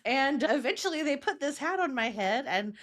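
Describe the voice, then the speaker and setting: A woman's voice: sits high, animated, moderate pace. A young American woman co-hosting a podcast in casual, chatty conversation, her informal talk peppered with "like" and "you know". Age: young adult. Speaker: podcast co-host.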